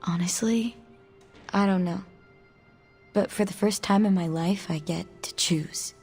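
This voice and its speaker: Young mutant outcast, gravelly edge. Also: female voice, mid-range voice, measured pace, a little mellow, faint music behind. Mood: reflective. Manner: casual, candid, hesitant. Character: young female mutant, guarded, earnest outcast. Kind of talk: video game dialogue acting.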